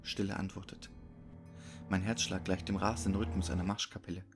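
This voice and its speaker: A man's voice: low-pitched, flat delivery, a little fast. A man giving a scripted, dramatic narration of a horror story. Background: music behind the voice.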